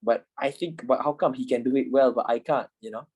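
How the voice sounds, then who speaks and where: A man's voice: medium pitch, a little fast, a little mellow, breathy edge. A man in his twenties teaching a trading class in a chatty, repetitive way, swearing now and then. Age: 20s. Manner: chatty, repeats himself, swears casually. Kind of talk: casual class lecture.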